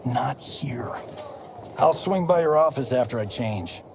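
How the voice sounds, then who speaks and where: A man's voice: mid-range voice, unhurried, noisy background. An actor playing a brash, wisecracking male movie star in a video game cutscene. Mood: cocky. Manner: cocky, wisecracking, animated. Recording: game cutscene with music behind.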